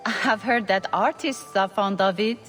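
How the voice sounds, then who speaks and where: An actress playing a hesitant, gossipy castle servant in a video game, speaking earnestly. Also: female voice, mid-range voice, measured pace, fairly bright tone, close to an everyday voice. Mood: matter-of-fact. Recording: long echo, faint background music.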